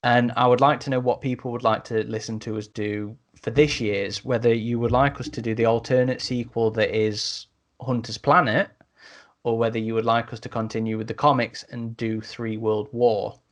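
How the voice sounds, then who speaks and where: Guy hosting a podcast, chatty and matey, medium pitch, casual talk. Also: level tone, normal speed. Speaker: podcast host.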